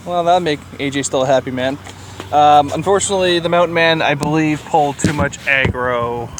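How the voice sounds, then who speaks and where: A man's voice: on the high side, normal speed, a little hiss or hum. A man hosting a podcast in casual, rambling, joking banter. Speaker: podcast host.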